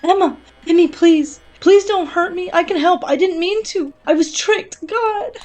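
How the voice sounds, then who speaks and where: Female performer as desperate woman prisoner, high-pitched frantic begging, horror audio play. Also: unhurried, darkish tone, soft background music. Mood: terrified pleading. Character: terrified captive woman, pleading desperately.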